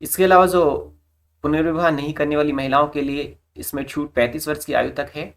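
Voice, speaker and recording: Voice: medium pitch, steady tone, a little fast. A presenter reading out an official recruitment notice in an instructional way, restating formal terms in simpler words. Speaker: presenter of job recruitment updates. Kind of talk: informational explainer reading out a notice with plain explanations.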